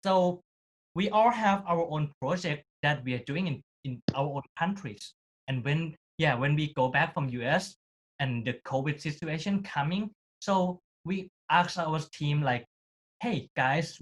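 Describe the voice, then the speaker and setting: A network co-founder describing the group's start, speaking casually in simple phrasing. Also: medium pitch. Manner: casual, non-native phrasing. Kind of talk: panel conversation.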